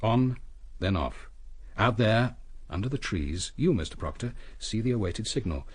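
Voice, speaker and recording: An actor playing a coolly brilliant British police inspector in a detective radio drama, husky, measured and deliberate. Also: male voice, lowish voice, animated. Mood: tense, deliberate. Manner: measured, deliberate, theatrical reconstruction of events.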